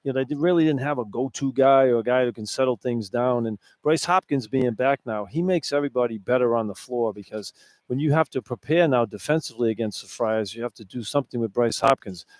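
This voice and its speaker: Male color commentator, conversational sports analysis, repeats points for emphasis, medium pitch, very dry sound. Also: brisk, darkish tone, dry recording.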